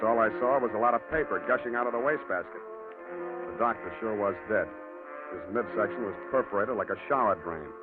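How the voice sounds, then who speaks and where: An actor playing a hardboiled private detective who narrates and talks in a clipped, dry way in 1940s radio style. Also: male voice, mid-range voice, lightly acted. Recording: tinny old radio broadcast with music.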